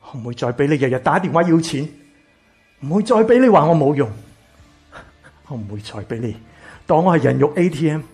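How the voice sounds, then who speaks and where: A man acting a bitter, exploited grown son in a radio drama, with a Hong Kong Cantonese accent and an emotional, breathy delivery. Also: a little high, lively intonation, a little fast, long pauses. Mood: angry, fed up. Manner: emotional, stammering, swinging from shouts to whispers. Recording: music behind the voice.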